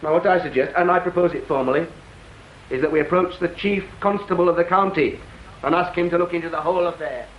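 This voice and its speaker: An actor playing an agitated, self-important town councillor in a radio drama, formal, insistent and complaining. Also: male voice, fairly high pitch, fast, roomy sound, muffled recording, a little hiss or hum, lightly acted. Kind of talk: British radio drama acting.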